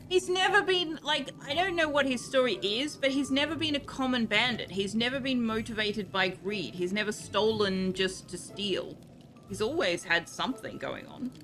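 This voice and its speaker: A woman's voice: a little high, lively intonation, normal speed, fairly bright tone. An Australian woman streaming a game, reading item text aloud and chatting in a dry, sarcastic way. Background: game music.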